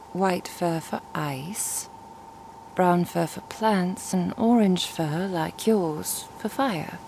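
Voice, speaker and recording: A woman playing a curious, composed traveling merchant and master in an ASMR roleplay, speaking in a measured, formal, inquisitive way. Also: fairly low pitch, vocal fry, darkish tone, slight background noise, heavily acted. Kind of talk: ASMR roleplay audio drama.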